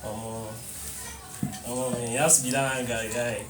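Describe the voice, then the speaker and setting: A young man with a Nigerian accent, talking in an animated way, laughing and mixing in Pidgin. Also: medium pitch, normal speed, music in the background. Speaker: interviewee. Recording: roomy echo, distant mic, noise behind.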